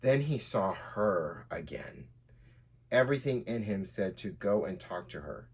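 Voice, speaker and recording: A man's voice: on the low side, animated, a little slow, husky. A man hosting a storytelling podcast as a writer, moving between casual chat and reading from their own fiction.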